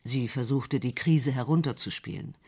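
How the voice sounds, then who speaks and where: A man reading a history documentary script in a clear, composed way. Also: mid-range voice, normal speed, a little mellow. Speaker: documentary narrator.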